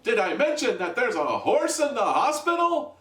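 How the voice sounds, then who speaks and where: An overeager stand-up comedian, mimicked by a man, pitched far up and straining at every punchline. The delivery is exaggerated and mocking. It rings in a roomy space, a bit away from the mic. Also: very animated, moderate pace, fairly bright tone, exaggerated voice. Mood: showy, mocking. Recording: roomy echo, distant from the mic.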